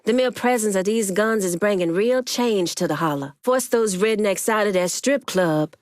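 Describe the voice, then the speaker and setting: An actress voicing a proud, commanding woman crime boss in a video game, with a firm, deliberate and authoritative delivery. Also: medium pitch, no echo, natural voice. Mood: composed, assured.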